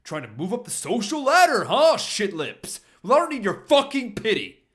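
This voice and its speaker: A man's voice: high voice, wildly swooping pitch, moderate pace, a bit bright, voice pushed up, heavily acted. A man reading a story and voicing a proud, belligerent teenage quarterback who talks with scornful defiance. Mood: furious scorn.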